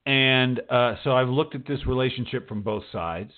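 A man's voice: medium pitch, normal speed, phone-quality sound. A man hosting a casual interview, talking informally and probing in short, rephrased bursts. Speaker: host, interviewer. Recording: band-limited radio-style voice recording.

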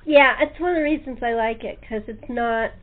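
A woman's voice: on the high side, normal speed. A woman hosting a casual interview chat, talking in a chatty, easygoing way. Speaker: talk show host. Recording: tinny phone-like line.

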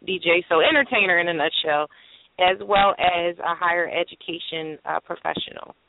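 A woman's voice: on the low side, moderate pace, no room sound. A woman from Pennsylvania, a singer and educator, speaking upbeat on a call-in radio panel. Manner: upbeat, laughing, hesitant fillers. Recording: dry narrow phone line.

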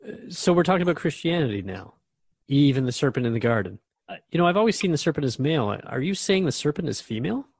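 A man's voice: on the high side, big pitch swings, fast, creaky, slightly rough, dry recording. Inquisitive man, dry close sound. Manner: inquisitive, reflective. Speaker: radio show host. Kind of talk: conversational radio drama dialogue.

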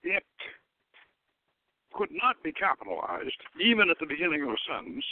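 A man reads satirical fiction dramatically as a radio host. His voice sits a little high for a man, and his delivery halts and doubles back over phrases. It comes through a narrow telephone-like line with little room sound. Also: big pitch swings, no room sound. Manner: halting, stumbles and rereads phrases. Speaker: radio show host, author reading own novel. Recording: thin phone-like radio line.

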